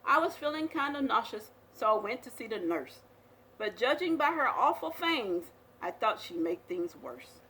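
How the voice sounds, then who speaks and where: A woman reading a children's picture book aloud as a storyteller, with expressive, dramatic delivery. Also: fairly high pitch, moderate pace, a little hiss or hum, poor audio.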